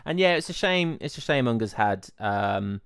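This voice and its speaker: Smooth-voiced English man, casual chat. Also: mid-range voice, expressive, moderate pace, a bit bright, dry recording, studio quality. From England. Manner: easygoing, chatty, laughs often. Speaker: esports caster. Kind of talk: casual pre-show chat.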